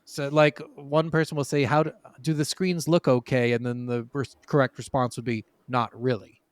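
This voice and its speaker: A man co-hosting a casual gaming podcast, telling stories from his notes in an animated, chuckling way. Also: mid-range voice, moderate pace, dry recording. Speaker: podcast co-host.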